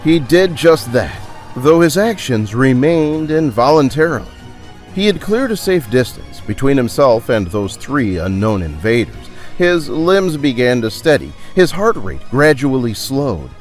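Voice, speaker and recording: Animated male storyteller over music. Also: mid-range voice. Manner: theatrical, deliberate, suspenseful phrasing. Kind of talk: dramatic scripted storybook narration.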